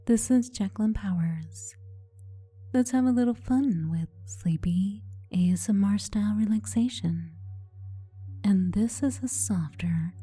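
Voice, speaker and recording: A woman's hushed, very breathy whisper, extremely soft and mellow in tone. She speaks very slowly as a sleep hypnosis guide, gentle and coaxing with a teasing touch, right up close to the mic. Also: lowish voice. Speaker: sleep hypnosis host and relaxation specialist. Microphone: close to the mouth. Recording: close whisper over faint music. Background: soft background music.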